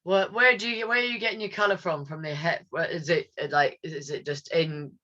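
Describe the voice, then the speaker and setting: A man interviewing a guest in a casual way, curious, with quick follow-up questions. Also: on the high side, fairly even intonation, bright, forceful tone, dull audio.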